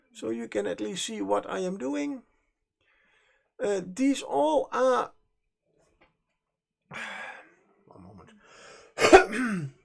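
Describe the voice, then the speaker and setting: Dutch accent, native Dutch speaker, heavy vocal fry, slow pace, hesitant and rambling, self-correcting, casual livestream talk. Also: mid-range voice. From Netherlands. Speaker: livestream host and miniature painter. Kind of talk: casual livestream chat while painting.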